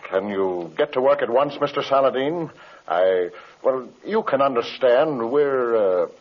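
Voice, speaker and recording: Husky, anxious radio-drama businessman. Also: medium pitch, animated. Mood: troubled, earnest. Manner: hesitant, halting businesslike talk. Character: anxious, flustered male airline executive. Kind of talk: old-time radio drama acting. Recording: muffled old radio recording.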